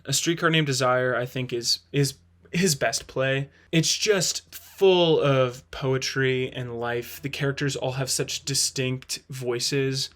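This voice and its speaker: A man with a medium-pitched voice gives a casual spoken review. He talks like a host thinking out loud, qualifying his judgments as he goes.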